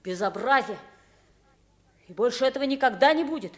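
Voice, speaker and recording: A woman's voice: a little high, animated, brisk, natural voice. A woman playing a spirited, kind-hearted pauper boy mistaken for a king, with emphatic theatrical delivery in a radio play. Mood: indignant. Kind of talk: 1950s Russian radio play acting.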